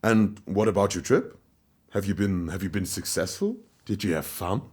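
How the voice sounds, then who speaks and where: A man's voice: low-pitched, normal speed, a little mellow, slightly rough. A man acting in an audio play as a distracted, breezy boss who speaks hesitantly and is easily sidetracked. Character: distracted, breezy male boss at a moon colony office.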